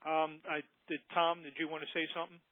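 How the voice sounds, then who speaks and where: A man's voice: on the high side, monotone, fast talker, no room sound. A man informally moderating a press conference call over the telephone, sounding casual and a little hesitant. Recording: dry, thin telephone line.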